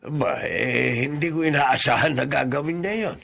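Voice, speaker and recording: A man's voice: mid-range voice, animated, normal speed, creaky, fairly bright tone, slightly rough, exaggerated voice. An actor playing a patient older man who consoles and advises in a radio drama, exasperated yet soothing. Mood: surprised concern. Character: patient older male confidant, Tata Luis, consoling and advising. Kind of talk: Filipino radio drama acting.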